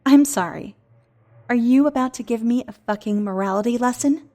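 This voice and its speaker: A woman's voice: a little high, normal speed, warm tone, lightly acted. A woman playing a bitter, sarcastic, century-old shapeshifting monster in an audio horror drama, combative and foul-mouthed. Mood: mocking, angry. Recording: music under the voice.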